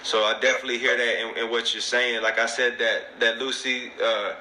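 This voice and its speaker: Easygoing bright-voiced male host. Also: mid-range voice, level tone, fairly quick, roomy sound, a little hiss or hum, poor audio. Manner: easygoing, repeats "you know what I'm saying". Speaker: podcast host. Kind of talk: casual podcast interview.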